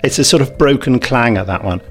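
British man hosting with lively, rambling banter. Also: mid-range voice, fairly quick, over loud music. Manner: jokey, rambling, self-deprecating. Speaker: podcast host. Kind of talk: unscripted casual banter.

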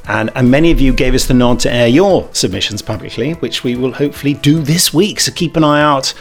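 A man's voice: mid-range voice, fairly quick, faint music behind. A man co-hosting a podcast in casual, jokey chat with a bumbling, self-deprecating manner. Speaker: podcast co-host.

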